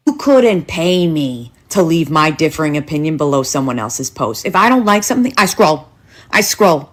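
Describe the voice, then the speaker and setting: A woman's voice: low voice, big pitch swings, normal speed. A woman giving a casual, comedic rant in a sassy, emphatic way.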